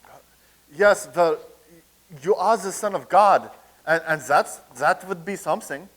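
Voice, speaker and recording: A man's voice: high-pitched, a little slow, a bit bright. An actor in a comedic staged reading playing a blustering, scoffing skeptic who stammers as he starts. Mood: hesitant. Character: blustering skeptical man, stammering, naive admirer of tyrants.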